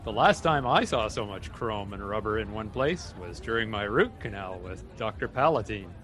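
Man playing a very animated radio field reporter. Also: lowish voice, normal speed, a little hiss or hum. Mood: curious, amazed. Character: chipper male local radio field reporter. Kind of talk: comedic live radio broadcast.

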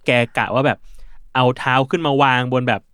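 A man's voice: mid-range voice, normal speed, fairly bright tone, clean tone, dry recording, clean studio sound. A man hosts a casual podcast chat, speaking in an informal, slangy way. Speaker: podcast host.

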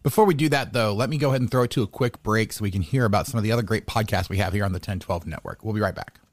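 A man's voice: on the low side, rapid-fire, dry recording. A man hosting a sports podcast in casual talk, rambling, often repeating words and restarting his sentences.

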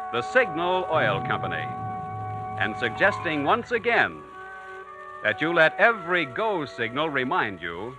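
A man's voice: on the high side, low-quality recording. A man announcing a scripted 1940s radio commercial in a polished, salesman-like manner, on a vintage broadcast recording. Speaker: radio announcer and sponsor pitchman.

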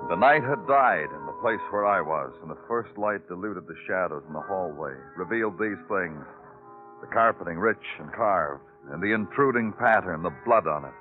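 Low-voiced, brooding radio detective. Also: male voice. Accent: American. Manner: brooding, deliberate, terse when questioning. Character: world-weary male police detective narrating a murder case. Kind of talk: 1940s-50s radio crime drama acting, poetic narration. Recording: tinny old radio broadcast, music behind.

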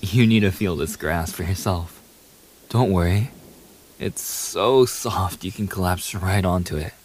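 Man acting a low-voiced, unhurried pop idol boyfriend. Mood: relaxed, affectionate. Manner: casual, teasing, addresses the listener intimately. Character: famous young pop idol boyfriend, playful, affectionate, eager. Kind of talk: boyfriend ASMR audio roleplay. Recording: steady hiss behind the voice.

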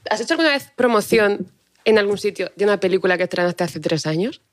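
A woman's voice: medium pitch, expressive, fast talker. Young woman, from spain, podcast co-host, casual, teasing.

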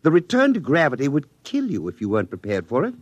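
A man playing a stern, condescending military psychologist in a radio drama, speaking in a measured, formal way. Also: fairly high pitch, huge pitch swings, breathy edge, no room sound, dull audio, heavily acted. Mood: cool, cautioning. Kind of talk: 1950s radio drama acting.

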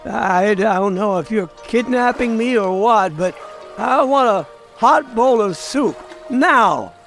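A fussy, grumbling sidekick in a radio mystery. His voice is high for a man and creaky, and he drags his words out very slowly, whining like a reluctant tagalong. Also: expressive, fairly bright tone, soft background music, full character voice. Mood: whiny, miserable. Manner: whiny, grumbling, dithering. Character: fussy, complaining, reluctant male agent and unwilling sidekick. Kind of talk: old-time radio comedy-mystery acting.

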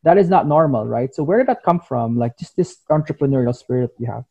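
A man's voice: mid-range voice, animated, quick pace, vocal fry, mellow, husky. A man co-hosting a podcast who asks rambling interview questions full of "you know". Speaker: podcast co-host. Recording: muffled lo-fi audio.

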